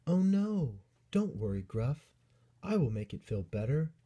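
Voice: medium pitch, sing-song, measured pace, mellow, breathy edge, lo-fi sound, voice pushed up. A reader voicing a small young bunny princess in a children's storybook, eager, kind and earnest. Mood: reassuring.

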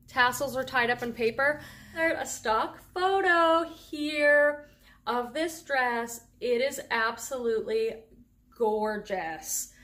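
A woman's voice: high-pitched, a bit bright. A middle-aged woman with a faint Boston accent, chatting enthusiastically through a thrift haul as a reselling vlogger. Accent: Boston, on a handful of words. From Boston area, USA. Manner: chatty, enthusiastic about clothing finds. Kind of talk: casual haul vlog.

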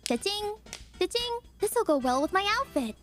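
A sassy, passive-aggressive girl bot, voiced by a woman, speaks high in curt, cutesy little phrases for a game assistant. Faint game music plays behind. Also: very animated, normal speed. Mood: playful. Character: sassy, passive-aggressive young female chatbot. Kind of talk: short game-assistant voice lines. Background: video game music.

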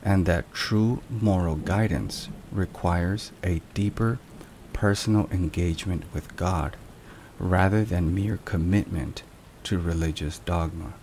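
A low-voiced man delivers scripted devotional narration in a hushed ASMR style. His delivery is very slow, measured and reflective. Rain and thunder are clearly heard behind him. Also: poor audio. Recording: steady rain and thunder noise. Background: rain and thunderstorm sounds.

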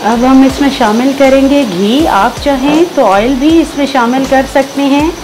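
Slow, high-voiced Pakistani cooking host. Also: female voice, rough recording. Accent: Pakistani Urdu. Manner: patient instructive, enthusiastic about the dish. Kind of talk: step-by-step recipe voice-over. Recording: voice-over with faint background music.

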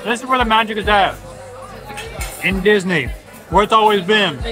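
A young man chatting casually on a cruise ship, laid-back with deadpan one-liners. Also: high voice, normal speed. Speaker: vlogger's companion. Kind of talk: casual chat. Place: busy cruise ship restaurant and lounge. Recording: loud music and crowd behind.

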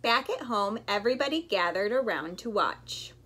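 A woman's voice: medium pitch, normal speed, breathy edge. A young adult woman reading a children's storybook aloud expressively, acting out sound effects. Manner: animated, with acted sound effects. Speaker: story time reader. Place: bedroom, indoors.

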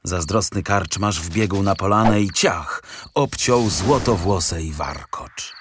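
A man narrating a fairy tale from a script in an expressive, dramatic storytelling manner. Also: low-pitched, slow, noisy background. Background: music.

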